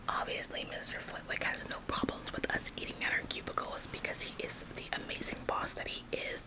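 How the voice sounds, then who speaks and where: A woman's voice: close to an everyday voice, low-quality recording. A woman playing an officious, nitpicking office employee who acts like the boss in a whispered ASMR roleplay, nagging repetitively with condescending, faux-polite phrasing.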